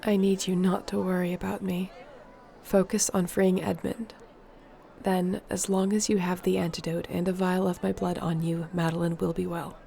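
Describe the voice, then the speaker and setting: A woman playing an earnest, determined fantasy sorceress in an intimate audio roleplay, speaking in a measured, softly formal way. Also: lowish voice, level tone, creaky. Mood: earnest, resolute.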